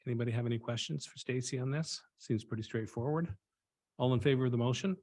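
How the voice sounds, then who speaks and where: Man, meeting chair, reads motions briskly, medium pitch. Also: a little mellow. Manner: brisk, procedural. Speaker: board chair.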